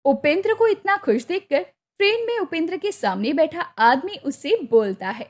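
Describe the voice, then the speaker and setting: A woman's voice: very high voice, big pitch swings, normal speed. A woman narrating a scripted moral story for a cartoon as its storyteller.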